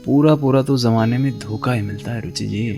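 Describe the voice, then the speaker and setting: A wry young man nursing an old heartbreak, as an actor plays him, teasing lightly and turning wistful, with a steady mid-range voice. Soft music sits under his lines in this studio radio play. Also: medium pitch, normal speed, creaky, close to an everyday voice.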